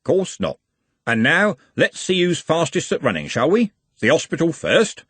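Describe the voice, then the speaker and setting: A man voicing a middle-aged police constable who speaks evenly and with quiet firmness. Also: fairly high pitch, slightly rough, no echo, voice pushed up, full character voice. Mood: calm. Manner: even, unhurried, quietly firm. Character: calm middle-aged male constable, patient.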